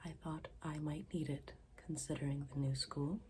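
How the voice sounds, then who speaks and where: A woman playing a morbid, deadpan schoolgirl in an ASMR roleplay, speaking softly and formally, often slipping into a whisper. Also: deep voice, background noise, natural voice. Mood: formal, ominous. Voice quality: soft, breathy, often dropping into whisper. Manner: deadpan, formal, deliberate wording.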